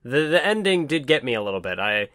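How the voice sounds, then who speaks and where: A man's voice: medium pitch, sing-song, fast talker, a bit bright, no echo, clean studio sound. A man co-hosting a casual podcast, hesitant and easygoing. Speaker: podcast co-host. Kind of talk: casual chat about manga.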